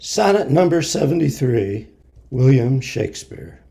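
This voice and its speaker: A man reciting classic verse for a congregation in a solemn, measured manner. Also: medium pitch, very animated, vocal fry, warm tone. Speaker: poetry reader at a congregation service.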